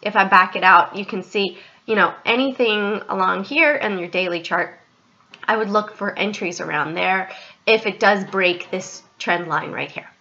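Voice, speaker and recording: A woman hosts a casual trading commentary over her screen, her voice stuffy and a little scratchy. The tone is bright and forward, and the recording is dull and lo-fi. She talks straight to viewers and keeps correcting herself on the fly. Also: mid-range voice, moderate pace. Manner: chatty, direct address, frequent self-corrections. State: stuffy and slightly scratchy from allergies. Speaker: trading channel host.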